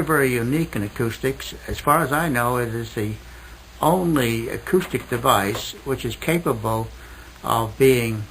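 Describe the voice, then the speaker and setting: A man explains technical matters as a guest on a 1960s radio interview. His delivery is halting and carefully worded, at a middling pitch. The old recording carries faint noise. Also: a bit bright, low-quality recording. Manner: halting, hesitant, precise technical phrasing. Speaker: interview guest, loudspeaker inventor.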